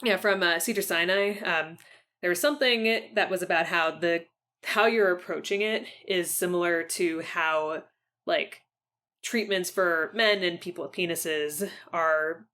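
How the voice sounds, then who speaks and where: A woman interviews in a casual podcast style. Her voice sits fairly low but has a bright, forward edge. She phrases questions haltingly and tentatively, circling back to reword them.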